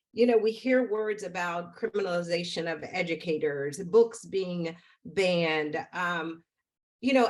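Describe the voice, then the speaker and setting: A middle-aged American woman moderating an online panel from home, speaking in deliberate, broken phrases with repeated words for emphasis. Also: medium pitch. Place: home room on video call.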